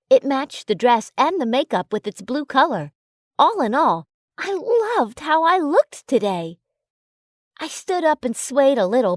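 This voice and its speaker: A woman's voice: sits high, dry recording, studio quality. A woman reading a first-person romance story as a scripted narration.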